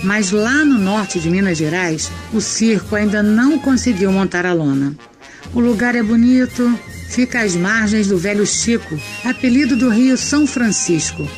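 A woman's voice: mid-range voice, lively intonation, normal speed. A woman with a Brazilian accent delivering scripted, conversational narration as the host of an audio drama podcast. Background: music.

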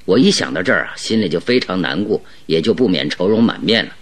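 Deep-voiced, grave radio detective. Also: male voice, lively intonation, fast talker, slightly rough, exaggerated voice. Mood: grave, confiding. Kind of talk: radio drama acting.

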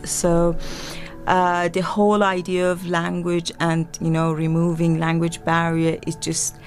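A woman's voice: fairly low pitch, completely flat delivery, measured pace, soft background music. A woman from Iran whose first language is Farsi, a midwife guest in an interview, talking hesitantly with many fillers and restarts. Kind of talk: interview conversation.

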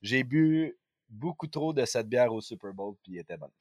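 A man's voice: medium pitch, lively intonation, moderate pace, dry recording, clean studio sound. A man with a Quebec French accent hosting a podcast, chatting and interviewing casually in colloquial, slangy language. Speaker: podcast host.

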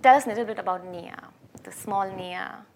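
A woman's voice: mid-range voice, moderate pace, gravelly, a little mellow, slight background noise. A woman hosting a small-business podcast, introducing her guest and asking friendly, encouraging questions. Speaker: podcast host. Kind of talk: podcast interview.

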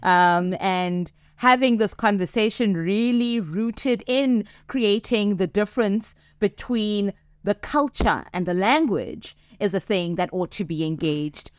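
A woman's voice: medium pitch, clean tone, no echo, clean studio sound. A woman hosting a podcast, addressing her listeners as "good people" and affirming her guests. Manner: slow, warm-welcoming, affirming. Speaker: podcast host.

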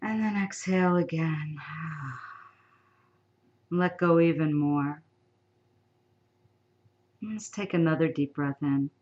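Slow, soothing woman guiding meditation. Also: low voice, darkish tone, highs cut off. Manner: soothing, unhurried, with long pauses. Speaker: meditation guide. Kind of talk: guided meditation.

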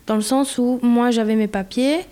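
A young woman from Belgium speaks in a casual conversation, her voice fairly high but mellow and soft. She talks candidly, pausing often with fillers as she gathers her thoughts. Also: fairly even intonation, smooth. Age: 20s. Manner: candid, hesitant, with many fillers. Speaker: guest.

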